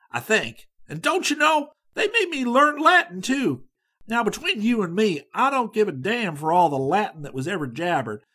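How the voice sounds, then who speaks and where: A man voicing a brash, hearty young American male student who talks loud and slangy with an American accent. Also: sits high, pitched above the performer's own voice, exaggerated voice. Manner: loud, boisterous, slangy drawl.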